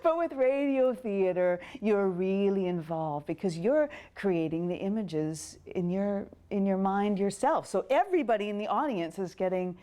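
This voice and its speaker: A woman's voice: mid-range voice, animated, normal speed, few pauses, mellow. A woman radio theatre performer speaking casually and emphatically in an interview.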